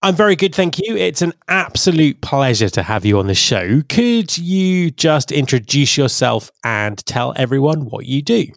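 A man's voice: on the high side, big pitch swings, moderate pace, vocal fry, hardly any pauses, dry recording, studio quality. A man hosting a podcast, asking his guest questions in an upbeat, conversational way. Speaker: podcast host. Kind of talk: casual interview hosting.

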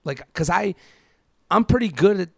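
Man in his thirties, gravelly, higher-pitched voice. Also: normal speed, no room sound, clean studio sound. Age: 30s. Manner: animated quoting of imagined speakers, rhetorical questions. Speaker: podcast co-host. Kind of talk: casual advice chat.

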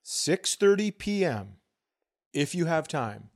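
Male podcast comic, middle-aged, slightly high voice, deadpan and foul-mouthed storyteller in casual banter. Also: slow pace. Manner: profane, deadpan exaggeration. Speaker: comedian and podcast co-host.

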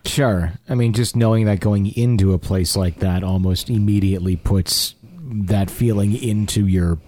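A man's voice: fairly low pitch, normal speed, warm tone. A man hosting a podcast, chatting casually and reading listener stories aloud in an agreeable, conversational manner. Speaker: podcast host. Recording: music behind the voice. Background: music.

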